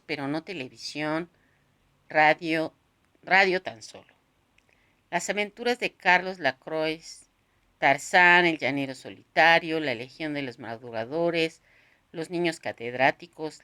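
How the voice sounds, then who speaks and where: A woman narrating a literary novel aloud, expressively, in measured phrases with pauses. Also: deep voice, sharp tone.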